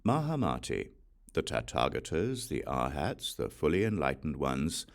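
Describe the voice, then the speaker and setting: A man reading the part of a calm, wise male spiritual teacher who explains a teaching in measured phrases. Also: rumbling low, creaky, full character voice. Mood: solemn.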